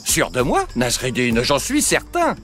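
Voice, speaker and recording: An actor playing a pompous, dim-witted and self-assured grand vizier in a children's cartoon, stammering and blustering. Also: male voice, mid-range voice, huge pitch swings, unhurried, a bit bright, soft background music. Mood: smug, boastful. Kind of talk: French children's cartoon acting.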